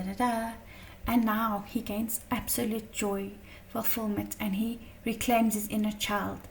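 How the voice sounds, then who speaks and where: A woman teaching a tarot lesson in a chatty, casual way, her voice slightly croaky from a long day of talking, often checking in with "okay?". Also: a little high, recorded from a distance, a little hiss or hum. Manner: chatty, many fillers and "okay?" check-ins.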